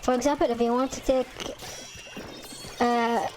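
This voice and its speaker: A young woman with an Arabic accent, a native Arabic speaker, chatting casually over gameplay in halting English full of restarts and fillers. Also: on the high side, flat delivery, over music, low-quality recording. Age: young adult. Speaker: gaming channel host.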